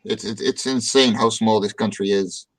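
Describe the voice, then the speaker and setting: A man's voice: on the low side, steady tone, moderate pace, low-quality recording. A Dutch man hosting a casual livestream chat, upbeat and joking, often repeating words as he starts a sentence. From Netherlands. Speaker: stream host.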